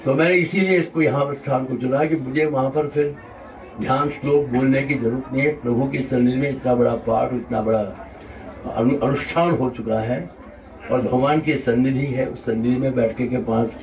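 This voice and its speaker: A man's voice: medium pitch, normal speed, darkish tone, highs cut off, a faint crowd. A man with a sore throat giving a reflective, digressive devotional discourse as a spiritual teacher. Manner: reflective, digressive, explains terms. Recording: roomy hall audio, mic at a distance.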